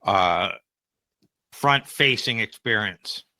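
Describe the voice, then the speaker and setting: Bright, clear-voiced man talking casually. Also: lowish voice, slow, no room sound. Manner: conversational, explains with examples and acted-out asides. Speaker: podcast co-host and AI consultant. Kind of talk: casual opinionated podcast discussion.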